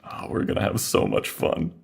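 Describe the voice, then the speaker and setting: An actor playing an eccentric, showboating scientist in an audio drama, theatrical and fussy in manner. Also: male voice, normal speed, noisy background, lightly acted. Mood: gleeful, showy. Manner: theatrical, effusive, awkward. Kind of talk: modern audio drama acting.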